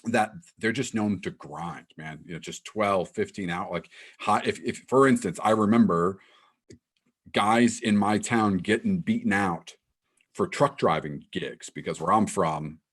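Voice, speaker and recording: Interview host, man from New England; very low, breathy voice, laid-back delivery. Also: measured pace, fairly bright tone. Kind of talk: casual interview conversation.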